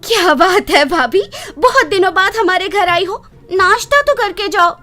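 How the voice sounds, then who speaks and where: Woman voicing a bossy, scheming young daughter-in-law, high-pitched. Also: animated, normal speed, bright, forceful tone, exaggerated voice. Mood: falsely sweet. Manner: imperious, gloating, commanding. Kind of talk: children's moral storybook voice acting.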